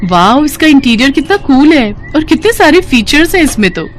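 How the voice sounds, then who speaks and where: A woman's voice: sits high, very animated, normal speed, slight background noise, pitched above the performer's own voice. A woman voicing a spoiled, argumentative college girl who craves riches, in a story cartoon. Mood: eager, friendly. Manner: petulant, sarcastic, argumentative. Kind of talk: Hindi moral story cartoon acting.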